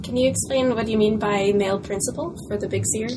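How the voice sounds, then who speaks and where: A woman interviewing an author, asking measured, curious questions. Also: medium pitch, steady tone, background noise.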